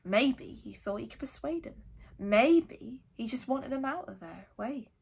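A woman voicing a gruff, big-hearted giant of a man in a storybook, with folksy, blustering, sometimes halting speech. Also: high-pitched, lively intonation, full character voice. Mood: anxious, hesitant. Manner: folksy dropped-word speech, halting when uneasy.

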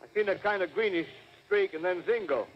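Actor as a high-pitched rambling rural American farmer. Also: male voice, fairly quick, lightly acted. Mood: folksy, rambling. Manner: rambling, folksy, hesitant. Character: folksy, drowsy, slow-witted farmer, rambling eyewitness. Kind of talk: 1930s radio acting. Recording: muffled, noisy 1930s radio recording.

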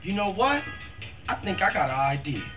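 A man's voice: sits high, very animated, normal speed, over music. A man playing a young, slangy, blunt American street hustler in a video game, tough but loyal. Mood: businesslike. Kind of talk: video game cutscene acting.

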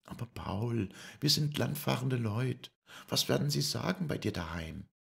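A warmhearted young traveling girl, voiced by a man reading a novella aloud speaks in a soft southern German dialect. Her lines rise and fall with feeling, and his gravelly texture stays underneath. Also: medium pitch, sing-song, measured pace, voice pushed up, exaggerated voice. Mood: anxious. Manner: heartfelt, lilting dialect speech. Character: young woman traveling puppeteer's daughter, warmhearted and spirited.

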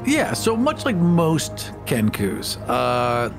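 Male game player, very expressive intonation, describing his character with music behind him. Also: a little high, slow pace, gravelly. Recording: music bed under the voice. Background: background music.

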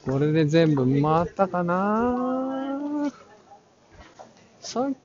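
A man's voice: high voice, big pitch swings, very slow, a little mellow. A man narrating a casual walk-through, muttering short fragments half to himself through a face mask. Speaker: vlog host touring an art fair. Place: large crowded indoor exhibition hall. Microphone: handheld camera at arm's length. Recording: dull sound in a busy hall. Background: crowd murmur.

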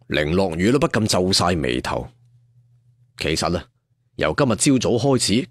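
A man's voice: mid-range voice, no room sound, clean studio sound. A man telling a serial story in a theatrical, colloquial traditional storyteller style. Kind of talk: dramatic serial storytelling narration.

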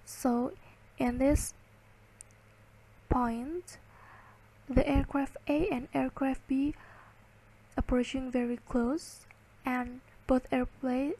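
A woman giving a slide lecture, reading and explaining in halting, word-by-word phrases. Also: high voice, flat delivery, dark tone, husky, poor audio.

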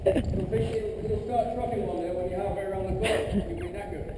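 A man with a high voice for his sex coaches riders in a blunt, urging way. His informal instructions bounce around a big echoey arena and compete with very loud noise on a rider's camera. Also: fairly even intonation, a little fast. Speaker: show jumping coach. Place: large indoor riding arena. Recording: echoey noisy indoor arena.

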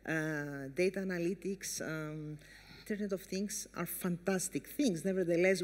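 Formal female moderator in an echoey hall. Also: lowish voice. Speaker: panel moderator. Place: on stage in a conference hall.

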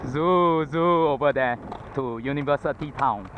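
A man's voice: medium pitch, measured pace, a bit bright. Singaporean man chatting on a scooter ride. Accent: Singaporean English. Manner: chatty, self-narrating, thinking aloud with fillers. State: panting from exertion. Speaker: vlogger. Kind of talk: casual chat while riding. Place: outdoors on a city roadside pavement. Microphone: head-mounted action camera mic. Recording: lo-fi action camera audio with street traffic. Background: passing traffic.

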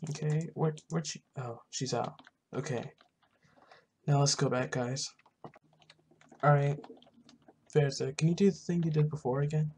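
A man narrating a game roleplay casually in a slightly high voice with plenty of creak. There is faint noise and keyboard clicking behind him. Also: fairly even intonation, a little slow, pauses often, a little mellow. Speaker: gaming roleplay video maker.

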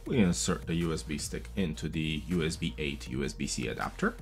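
A man in his thirties casually walking viewers through a device setup step by step, chatty and patient, recorded at a desk with a boom mic. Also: expressive, fairly quick, creaky, soft background music. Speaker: tech video host. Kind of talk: casual tutorial walkthrough. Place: small room at a desk. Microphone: studio mic on a boom arm, wearing headphones.

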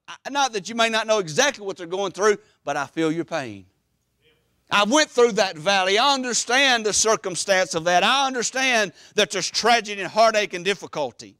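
Middle aged guy preaching a sermon, high forceful voice, repeats phrases for emphasis. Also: smooth, no room sound, studio quality. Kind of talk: Baptist sermon. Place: church sanctuary, preaching from the platform.

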